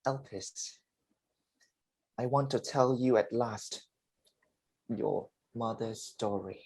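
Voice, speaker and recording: A man performs a dying father in a play reading. His voice is husky and mid-pitched, and he takes his time over each phrase. He sounds weary and earnest, pleading to be understood. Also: darkish tone, heavily acted. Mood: solemn, confiding. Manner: slow, deliberate, emotive delivery.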